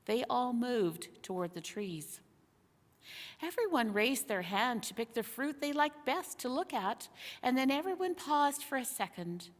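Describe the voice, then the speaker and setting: A woman reads a children's story with expressive, deliberate phrasing. Her voice sits a little high and moves at a slow pace. It rings with long hall reverb. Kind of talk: expressive children's book narration.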